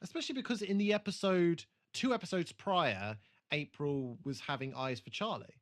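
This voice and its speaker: A man's voice: fairly high pitch, moderate pace. A British man co-hosting a podcast, reviewing an episode in casual, hesitant chat as he thinks aloud. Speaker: podcast co-host.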